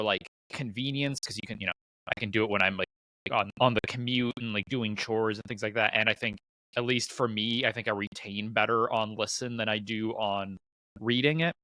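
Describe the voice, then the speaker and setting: Male co-host, mid-pitched and bright, dry recording, loose rambling delivery that works thoughts out aloud. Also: fairly quick, no echo, low-quality recording. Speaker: podcast co-host. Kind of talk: casual podcast chat.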